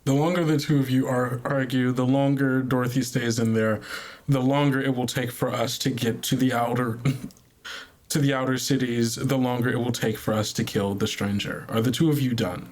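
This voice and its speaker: A man's voice: mid-range voice, steady tone, moderate pace, close to an everyday voice. A man playing an impatient, blunt woman driver in a tabletop drama, speaking firmly and scolding.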